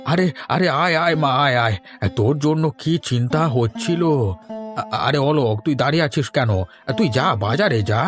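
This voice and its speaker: A man's voice: medium pitch, moderate pace, fairly bright tone, close to an everyday voice. Man voicing fretful middle-aged father, faint music. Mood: relieved, doubtful. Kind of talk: animated horror story acting.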